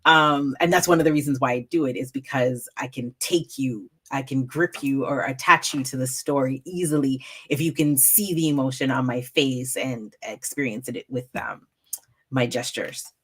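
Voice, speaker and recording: A woman storyteller speaking as an interview guest, in an animated, expressive way. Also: a bass voice, moderate pace, husky. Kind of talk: casual interview talk.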